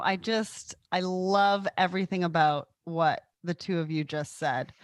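A woman's voice: lowish voice, dry recording. Woman, panel host, enthusiastic, affirming, dry room. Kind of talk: casual panel discussion.

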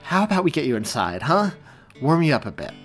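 A man's voice: on the high side, sing-song, a little fast, faint music behind, pitched above the performer's own voice. A man voicing an anxious, flustered young man in an audiobook, hesitant and easily exasperated. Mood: forced cheer.

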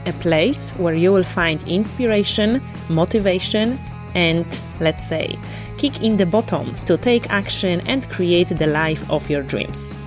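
A woman's voice: fairly low pitch, unhurried, over music. A woman hosting a motivational podcast, talking in an enthusiastic, encouraging way. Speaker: podcast host.

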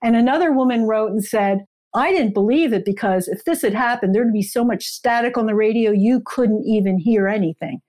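A woman's voice: fairly high pitch, a little fast, few pauses, mellow. A woman speaking as a guest author in a conversational interview, explaining history in an emphatic way.

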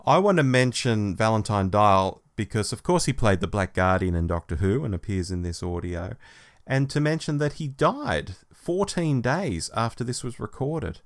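A man's voice: on the low side, very animated, moderate pace. Easygoing podcast guy, agreeable n bit tentative, voice swings up and down a lot. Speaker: podcast co-host. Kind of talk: casual discussion.

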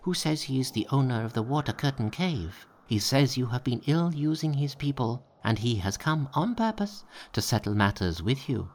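A man's voice: mid-range voice, big pitch swings, moderate pace, hardly any pauses, pitched above the performer's own voice. Small nervous storybook imps, slightly raised voice, anxious, as a man puts them on. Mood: urgent. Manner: anxious, reporting. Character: timid small monkeys and imps, a group. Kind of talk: storybook reading.

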